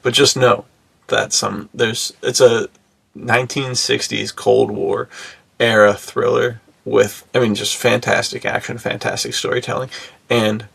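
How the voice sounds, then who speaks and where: Man with very creaky, gravelly voice. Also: medium pitch, little pitch movement, unhurried, a bit bright, no room sound. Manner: laid-back bro slang, frequent "like" and "dude". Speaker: podcast co-host. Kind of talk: casual chat.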